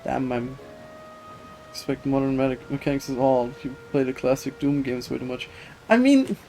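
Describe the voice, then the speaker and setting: A man's voice: mid-range voice, a little mellow. Male game streamer chatting over music. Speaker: video game live streamer. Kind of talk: casual chat while gaming, reading and answering viewer comments. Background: video game music.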